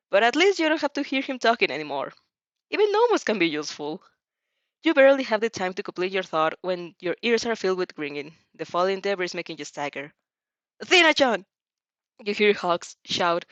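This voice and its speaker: A woman's voice: medium pitch, fairly bright tone, dry recording. Female audiobook-style fanfic narrator, dramatic delivery with occasional stumbles, dry but slightly muffled lo-fi sound. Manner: dramatic, stumbles over words and repeats them. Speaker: fan fiction reader and dubber. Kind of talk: expressive audio fanfiction narration with character voices.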